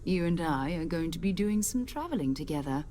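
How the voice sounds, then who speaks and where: A woman's voice: on the low side, expressive, fairly quick, dark tone, slight background noise, lightly acted. An actress playing a confident, focused woman who leads space explorers in a video game, composed and encouraging. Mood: assured, welcoming. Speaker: leader of an explorers' group. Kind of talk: video game character dialogue.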